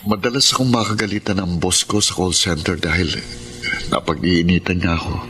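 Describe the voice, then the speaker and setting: An actor playing a burdened, withdrawn young boyfriend in a radio drama, weary and insistent. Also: male voice, low voice, fairly even intonation, fairly quick, background noise. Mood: weary, guarded.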